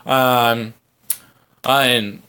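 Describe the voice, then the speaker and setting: A man hosting a casual fan podcast, answering viewer comments in a halting, rambling way as he thinks aloud. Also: mid-range voice, little pitch movement, bright, forceful tone, smooth. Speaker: podcast host.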